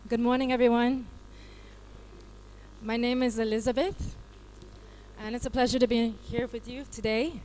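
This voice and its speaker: A woman's voice: fairly high pitch, steady tone, normal speed, long pauses, darkish tone, a little hiss or hum, low-quality recording. A woman from Los Angeles with an American accent, giving a live workshop talk and questioning the audience. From Los Angeles, California. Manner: interactive, asks the audience questions. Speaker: IT support advisor presenting.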